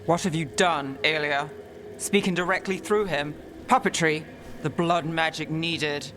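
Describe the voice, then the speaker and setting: A man's voice: a little high, steady tone, a little slow, noisy background, close to an everyday voice. A man playing a cool, determined fantasy detective with a terse, dry, businesslike way of talking in a video game. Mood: guarded, serious.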